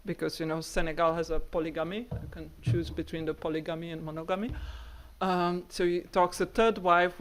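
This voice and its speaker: A woman researcher answering audience questions after a talk, rambling with many fillers like "like" and "you know". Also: fairly low pitch. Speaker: guest speaker, architecture researcher.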